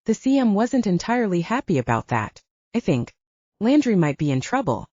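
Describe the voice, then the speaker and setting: A woman voicing a gruff, protective man with a blunt, scolding manner in an audiobook. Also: medium pitch, very animated, moderate pace, mellow, no room sound, dull audio. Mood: matter-of-fact. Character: gruff, bossy, protective man who cooks.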